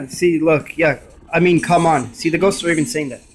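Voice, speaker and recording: A man's voice: a little high, moderate pace, faint music behind. A man giving a casual, emphatic and repetitive hands-on gadget demonstration. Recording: noisy room with device static. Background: radio scanning static.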